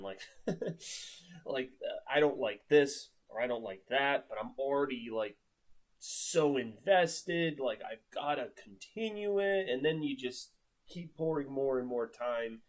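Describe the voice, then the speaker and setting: A man's voice: medium pitch, lively intonation, unhurried. A man giving a casual, unscripted book review in a rambling, emphatic way, leaning heavily on filler words.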